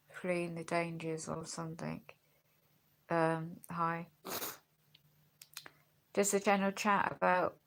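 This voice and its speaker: A woman's voice: fairly low pitch, vocal fry. A woman chatting casually in a rambling vlog, congested and losing her voice, filmed on a phone a friend holds at home. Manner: chatty, rambling, with sighs and tag questions. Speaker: vlogger. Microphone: phone held by a friend.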